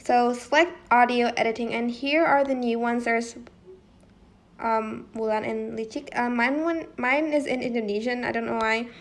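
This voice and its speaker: A woman's voice: on the high side, steady tone, moderate pace, a little hiss or hum. A woman presenting a casual how-to tutorial in an informal, slightly hesitant way, as if thinking aloud.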